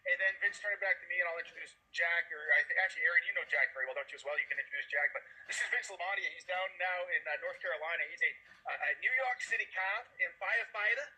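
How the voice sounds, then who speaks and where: Casting: fast-talking Boston man hosting a video call, upbeat and rambling. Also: high voice, sharp tone, low-quality recording. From Massachusetts, USA. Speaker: host introducing speakers on a video call. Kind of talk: enthusiastic motivational pitch.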